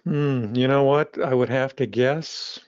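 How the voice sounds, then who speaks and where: A man's voice: mid-range voice, darkish tone, smooth, no room sound, highs cut off. A man, a magazine publisher, talking casually in an interview, often restarting his sentences. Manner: halting, with frequent restarts.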